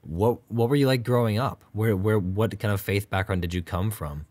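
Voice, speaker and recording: Very deep-voiced podcast host, raspy from a cold. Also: male voice, a little mellow, no echo. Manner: halting, filler-heavy questions. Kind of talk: interview questions.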